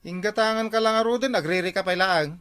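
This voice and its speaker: A man's voice: high voice, fast talker, fairly bright tone, clear, resonant tone. A man playing a grumpy, jealous village husband. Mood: defensive. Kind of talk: Ilocano barangay comedy drama acting.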